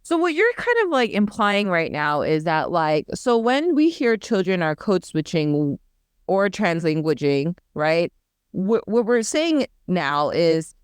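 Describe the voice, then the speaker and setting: A woman's voice: on the low side, sing-song, a little slow, smooth, dry recording, clean studio sound. A woman co-hosting a podcast whose first language was Korean, speaking conversationally and searching for words as she explains ideas. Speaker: podcast co-host. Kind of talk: casual academic discussion.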